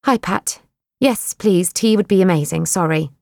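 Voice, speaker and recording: A woman's voice: lowish voice, normal speed, creaky, a little mellow, no room sound, clean studio sound. A woman voicing a tired, small young woman working in a shop, speaking in a weary, self-deprecating way.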